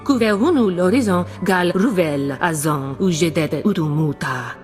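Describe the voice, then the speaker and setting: Lady acting an alien woman in a game, made up language, slightly low voice, loud music behind. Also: animated, moderate pace, natural voice. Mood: alien chatter. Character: alien woman speaking an invented tongue.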